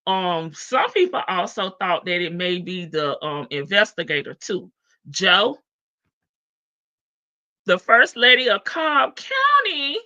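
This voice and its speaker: Female livestream host, unhurried, playful gossip delivery, frequent laughs. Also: medium pitch, sing-song, pushed and bright, highs cut off. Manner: chatty and playful, laughs often, greets viewers by name. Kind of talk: casual gossip commentary on a court case.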